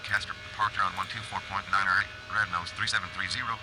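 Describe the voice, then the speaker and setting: A man's voice: low voice, steady tone, fast talker, background noise, natural voice. A man voicing a businesslike airline pilot in a simulator game, giving formal, clipped radio read-backs. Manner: formal, clipped, matter-of-fact.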